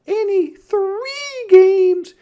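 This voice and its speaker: A little sister bear in a children's storybook. The voice is squeaky and far above a normal speaking pitch, emphatic and bossy, with a stubborn, scaredy streak. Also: extremely slow, dark tone, exaggerated voice. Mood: stubborn, bargaining. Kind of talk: children's storybook read-aloud.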